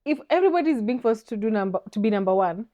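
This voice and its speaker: A woman's voice: fairly high pitch, expressive, rapid-fire, mellow. A young adult woman, co-hosting a podcast in a reflective, earnest casual chat. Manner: reflective, earnest, laughs easily. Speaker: podcast co-host.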